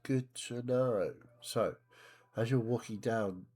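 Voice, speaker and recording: A man's voice: medium pitch, warm tone, breathy edge. A man narrating as game master of a tabletop game, describing scenes and asking players what they do. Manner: descriptive, measured, with asides. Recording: voice chat, live stream.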